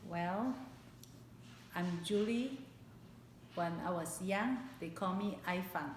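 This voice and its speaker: A woman in her late fifties with a Singaporean accent recalls her life in a personal interview, speaking haltingly and repeating phrases for emphasis. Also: lowish voice. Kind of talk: personal oral history interview. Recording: echoey room, background hiss.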